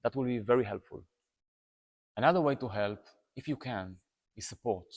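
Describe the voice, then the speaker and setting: A man's voice: mid-range voice, normal speed. Earnest Israeli man with reverb. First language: Hebrew. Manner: earnest, repeats words and restarts, many uh fillers. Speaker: activist. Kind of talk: talking to camera, appeal to viewers. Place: indoors, seated in a room. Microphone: lapel mic.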